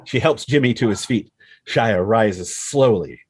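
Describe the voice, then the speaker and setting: A man's voice: on the low side, expressive, a little slow, darkish tone, breathy edge, no echo. A man reading stage directions as dramatic scripted narration at a table read, in punchy phrases. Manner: punchy, present-tense action reading.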